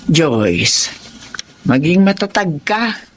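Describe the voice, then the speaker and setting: An actress playing a firm, wise older mother in a melodrama, giving counsel in a measured, admonishing way. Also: sits low, very animated, breathy edge, heavily acted. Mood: firm, consoling. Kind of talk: radio-style melodrama acting.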